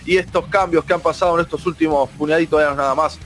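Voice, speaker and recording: A man's voice: a little high, fairly even intonation, rapid-fire, fairly bright tone. A man with an Argentine accent presenting a radio show, emphatic and piling up repeated phrases. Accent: Argentine Spanish. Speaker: radio host.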